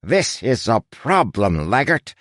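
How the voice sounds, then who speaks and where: A man's voice: mid-range voice, sing-song, slow pace, a bit bright, no echo, clean studio sound, pitched above the performer's own voice. A man voicing a rat who plays an aristocratic pirate captain, with a posh accent and a pompous, declamatory manner.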